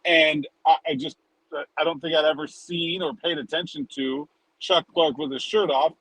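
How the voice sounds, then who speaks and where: A man's voice: fairly high pitch, fairly even intonation, moderate pace, a bit bright, no room sound, poor audio. A man chatting casually as a sports reporter and podcast co-host, wandering into conversational asides.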